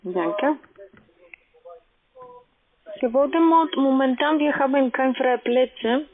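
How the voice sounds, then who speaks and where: High-pitched woman on a tinny phone line. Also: expressive, slow. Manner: non-native German with broken grammar. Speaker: call centre agent, sales caller. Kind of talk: sales phone call. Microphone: telephone.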